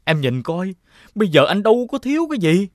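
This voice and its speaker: An actor playing a greedy, boastful and suspicious rich elder brother in an animated fairy tale, with a condescending manner. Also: male voice, high voice, a little fast, dry recording, studio quality. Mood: smug, expansive. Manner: condescending, expansive.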